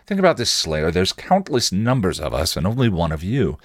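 Man voicing a cocky, goading vampire, animated delivery. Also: low-pitched, fairly quick, dry recording, studio quality, heavily acted. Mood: intense. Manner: provocative, insistent, goading. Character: cocky, taunting, passionate male vampire with British slang.

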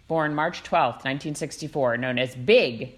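A woman co-hosting a podcast in casual chat, playful and animated, often reading aloud. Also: extremely low pitch, moderate pace. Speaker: podcast co-host.

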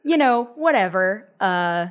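A woman's voice: mid-range voice, expressive, takes it slowly, clean tone. A woman co-hosting a podcast in a casual chat, bubbly and teasing, laughing often. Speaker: podcast co-host.

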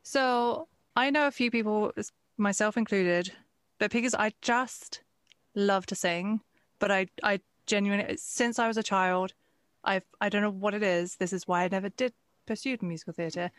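A woman's voice: medium pitch, moderate pace, clear, resonant tone, no room sound. Woman host, candid and hesitant, dry recording. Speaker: interviewer, host. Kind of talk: conversational interview.

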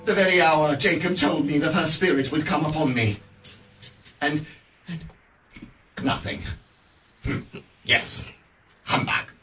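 An actor playing a bitter, miserly old man in a radio play, speaking in a halting, stammering way. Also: fairly high pitch, bright, forceful tone, distant mic, soft background music. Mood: grumbling.

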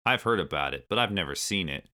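Man playing urgent, animated gorilla hero. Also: low-pitched, quick pace. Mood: earnest. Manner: urgent, exclamatory. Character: earnest, impatient young male gorilla hero.

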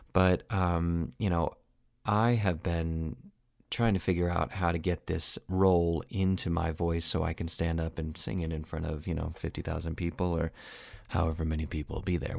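A man who is an opera singer and voice teacher, giving a casual podcast lesson, relaxed and rambling with many fillers. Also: deep voice, creaky, dry recording, clean studio sound.

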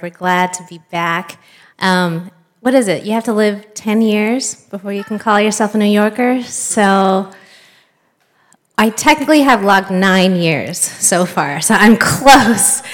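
A woman's voice: mid-range voice, a bit bright. A woman in her thirties from the United States, a pastor telling her faith story in a chatty, storytelling way with fillers and small laughs. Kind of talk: church talk, personal testimony.

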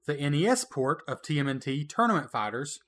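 A man's voice: on the high side, very animated, a little fast, fairly bright tone. A man co-hosting a casual gaming podcast, running a trivia quiz in a playful, teasing way and laughing often. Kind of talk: casual retro gaming chat.

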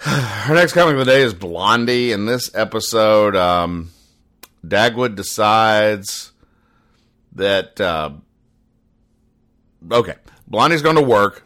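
A man with a deep, low-pitched voice hosts a comedy commentary show. He speaks in a deadpan, pedantic manner, haltingly spelling out every point in needless detail. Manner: deadpan, pedantic, over-explaining. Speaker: podcast host.

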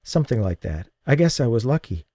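A man voicing a young woman left blinded and burned, speaking softly with a strained, halting manner in an audiobook. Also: mid-range voice, sing-song, no echo, studio quality. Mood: shaken. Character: injured young woman, blinded, fragile and shaken.

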